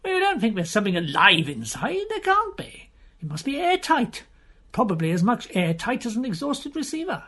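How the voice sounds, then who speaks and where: An excitable gentleman from a storybook mystery, acted by a male narrator. The voice is pitched high and swoops about animatedly. He is fussy and emphatic, exclaiming over every fanciful notion. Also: normal speed, exaggerated voice. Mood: wondering. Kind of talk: audiobook character voice.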